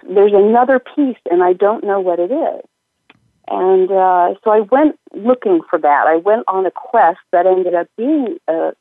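A woman minister telling her life story as an interview guest, measured and pausing with fillers. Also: mid-range voice, no echo. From United States and northern Italy. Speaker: minister and money coach guest. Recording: dry tinny phone line.

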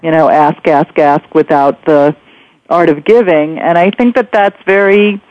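A woman hosting a conversational interview, speaking in a measured, wordy way with pauses mid-thought. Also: low-pitched, fairly even intonation, no room sound, dull audio. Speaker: interview host.

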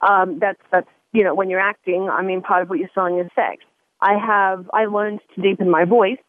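A woman's voice: medium pitch, fairly even intonation, moderate pace, dry recording. Chatty woman radio host on phone-thin audio. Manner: chatty, self-interrupting, repeats words. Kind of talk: casual radio talk. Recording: narrow phone-like line.

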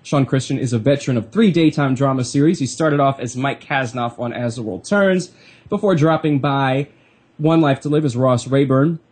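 A man's voice: mid-range voice, normal speed, darkish tone, husky. A man hosting a live internet radio show, stuffy from a cold, chatty and playful. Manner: playful, chatty, self-mocking.

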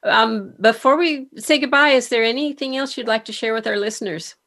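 A woman's voice: fairly high pitch, brisk, fairly bright tone. A woman hosting a conversational interview in an encouraging, appreciative manner. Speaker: podcast host and career author.

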